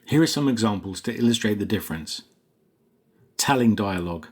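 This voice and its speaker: A man narrating a scripted lesson as a writing coach, in a measured, explanatory way. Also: lowish voice, lively intonation, breathy edge.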